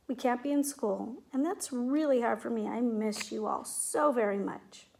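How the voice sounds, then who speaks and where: A middle-aged woman teacher speaking in simple, clear phrases to young children, as in a read-aloud or classroom demonstration. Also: a little high, normal speed, a little mellow, slight background noise. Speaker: teacher making videos for pupils. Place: indoors at home, living room and kitchen. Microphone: device mic at about arm's length.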